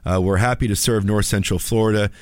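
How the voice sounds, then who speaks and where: A man with a slightly raspy voice, a little under the weather, hosts a live radio call-in show as an attorney, chatting in an informal, halting, wry way. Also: fairly low pitch, steady tone, a little mellow, no room sound, clean studio sound. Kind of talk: casual live radio chat. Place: radio studio.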